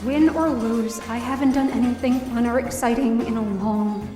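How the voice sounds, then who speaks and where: A high, earnest female voice from an actress as a hopeful heroine down on her luck. She tells her story expressively, as in an audio drama. The sound is echoey and set back from the mic, with some music behind. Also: normal speed, close to an everyday voice. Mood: reflective, hopeful. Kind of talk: first-person audio drama narration and dialogue. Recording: roomy echo, distant mic, music behind.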